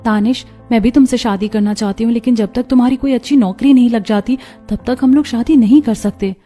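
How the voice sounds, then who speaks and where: A woman's voice: a little high, a little fast, dark tone, faint music behind, lightly acted. A woman voicing a young woman in a cartoon family story. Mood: lovelorn. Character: young women of a neighbourhood, sweethearts and daughters-in-law. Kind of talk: cartoon voice acting.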